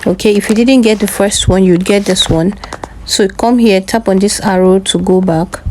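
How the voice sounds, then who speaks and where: A woman's voice: mid-range voice, steady tone, moderate pace, darkish tone, slight background noise, poor audio. A woman presenting a casual step-by-step tutorial, instructing and often checking that the listener follows.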